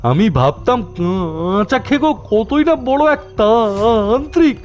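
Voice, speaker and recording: A shrill, whining cartoon ghost. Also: male voice, animated, slow pace, soft background music. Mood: scandalized. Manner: whining, theatrical reproach. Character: indignant male ghost, shrill and dramatic.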